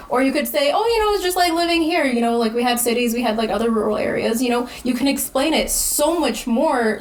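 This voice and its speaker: A woman co-hosting a casual podcast chat, speaking frankly and directly. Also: on the high side. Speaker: podcast co-host. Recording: echoey room, faint background noise.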